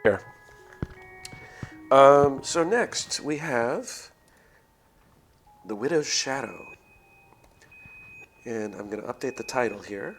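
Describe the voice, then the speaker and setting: A man hosting a game livestream, talking casually to viewers in a halting way, thinking aloud as he goes. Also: mid-range voice, animated. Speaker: game streamer, host. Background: game music.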